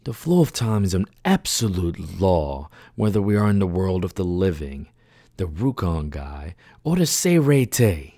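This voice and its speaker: Man as a dark, husky, lilting philosopher. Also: low-pitched, very animated, measured pace, dry recording, studio quality, heavily acted. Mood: serenely musing. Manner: formal, musing. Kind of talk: anime fan dub acting.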